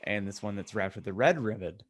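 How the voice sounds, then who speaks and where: A man with a fairly deep voice talks as a podcast co-host. He tells loose anecdotes and trades jokes in an informal, conversational way. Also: a little fast. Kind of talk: casual joking chat and anecdotes.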